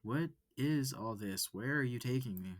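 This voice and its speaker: A man voicing a wary, confused human student in a visual novel, halting and skeptical. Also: medium pitch, animated, dry recording, clean studio sound, voice pushed up, lightly acted. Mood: annoyed, demanding. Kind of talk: visual novel character reading.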